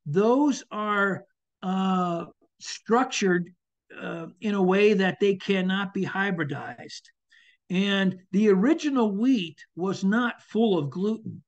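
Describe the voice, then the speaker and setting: An older man with a fairly high voice answers questions over a video call, often restarting his sentences and filling pauses with "uh" before settling into friendly advice. The audio is dull and lo-fi, like a webcam mic in a small room. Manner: hesitant, many restarts and fillers, friendly advice-giving. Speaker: chef and foraging instructor. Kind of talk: answering audience questions in a webinar.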